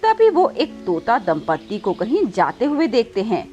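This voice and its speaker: A woman's voice: fairly high pitch, wildly swooping pitch, moderate pace, mellow, dull audio, faint music behind. A woman narrating a scripted children's moral story.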